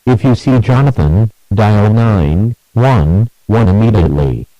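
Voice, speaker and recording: A man reading a scripted emergency alert as an announcer, in a measured, even manner through lists and warnings. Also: fairly low pitch, no echo, rough recording.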